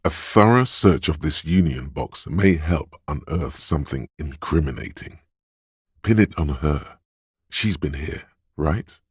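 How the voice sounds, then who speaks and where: An actor voicing a calm, observant male inner voice of the senses in a game, narrating in a measured, deliberate way. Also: very deep voice, husky, dry recording, studio quality, full character voice. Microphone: studio mic.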